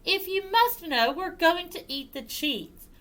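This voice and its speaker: Woman playing a storybook cat, sly, cool, commanding, self-assured, slow delivery, lively intonation. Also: very high voice, voice pushed up, exaggerated voice. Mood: bossy.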